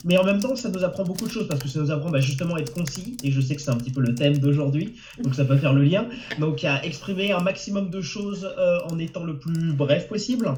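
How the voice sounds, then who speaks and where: A man's voice: fairly high pitch, a little mellow, roomy sound, slight background noise. Hesitant French man with a cold. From France. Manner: hesitant, many fillers and restarts. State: has a cold. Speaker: novelist guest. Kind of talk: webinar interview answers. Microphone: video call.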